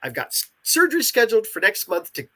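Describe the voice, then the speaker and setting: Nasal, bright-voiced man chatting. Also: high voice, huge pitch swings, fast. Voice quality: congested, nasal voice. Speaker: game creator, interviewee. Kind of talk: casual conversational interview.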